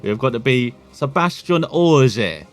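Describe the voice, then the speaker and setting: An English man hosting a gaming video, talking through menus in a relaxed, chatty way. He wanders into self-deprecating asides and keeps a mid-range voice. Faint music plays under him. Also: medium pitch, a little slow. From England. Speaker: gaming channel host. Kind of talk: casual gameplay commentary.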